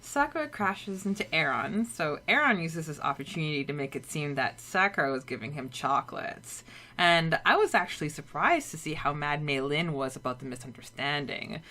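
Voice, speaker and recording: A woman co-hosting a casual podcast, chatting and recapping a story with a relaxed, talky manner. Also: deep voice, normal speed. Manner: chatty, recaps with many "like" fillers. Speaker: podcast co-host. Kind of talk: casual chat.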